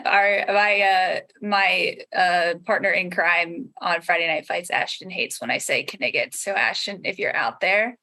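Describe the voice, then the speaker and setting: A woman's voice: lowish voice, measured pace, bright, forceful tone, rough recording. A woman chatting casually as a guest on a podcast panel, with a playful, joking manner.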